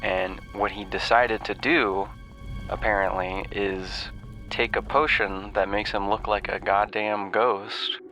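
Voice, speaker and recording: A man's voice: on the low side, fairly even intonation, takes it slowly, sharp tone, low-quality recording. A man giving a casual game recap in an offhand, rambling way, swearing freely. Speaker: host recapping a video game quest. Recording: music behind the voice.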